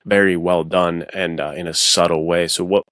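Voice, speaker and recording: A very low-voiced man, recorded dry and close. He hosts a podcast in casual chat, rambling in slang and acting out small quotes as he goes. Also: flat delivery, moderate pace, a bit bright, no echo. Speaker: podcast host.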